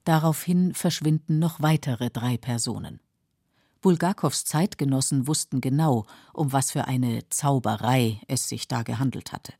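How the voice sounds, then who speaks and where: Mellow, sober documentary narrator. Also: medium pitch, a little slow, vocal fry, no echo, clean studio sound. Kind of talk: scripted factual narration.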